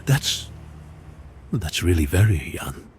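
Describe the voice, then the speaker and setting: An actor playing a polite, formal auction house employee in an audio drama, courteous and patient. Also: male voice, low-pitched, big pitch swings, slow pace, very noisy, heavily acted.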